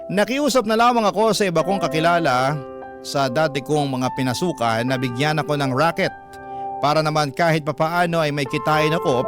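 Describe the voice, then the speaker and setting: Higher-pitched man narrating over music. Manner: earnest, confessional. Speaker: radio drama narrator. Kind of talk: dramatic scripted first-person narration. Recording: music bed under the voice. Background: instrumental music.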